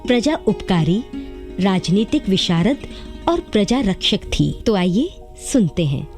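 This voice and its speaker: A woman narrates the introduction to a historical radio drama in a formal, scripted style. The voice is mellow and expressive with wide pitch movement, over faint music. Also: measured pace.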